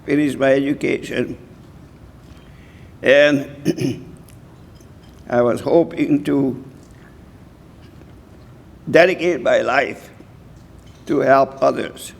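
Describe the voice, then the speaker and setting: A man in his nineties from Hungary giving an award acceptance speech, halting and slow, often clearing his throat. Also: medium pitch, steady tone. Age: 90s. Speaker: award recipient. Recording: reverberant hall.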